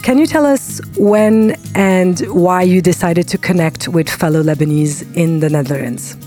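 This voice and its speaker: A woman hosting a radio interview, asking measured, scripted questions. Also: fairly low pitch, warm tone, soft background music. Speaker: radio show host.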